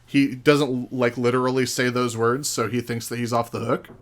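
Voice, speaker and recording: A man's voice: mid-range voice, level tone, normal speed. A man appearing as a podcast guest, chatting casually and profanely and dropping quotes into his explanations. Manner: informal, profane, acts out quotes conversationally. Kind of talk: casual chat.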